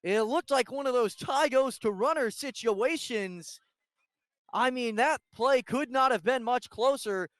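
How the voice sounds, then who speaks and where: A man doing live radio play-by-play of a softball game, describing each pitch in detail. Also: unhurried, no room sound.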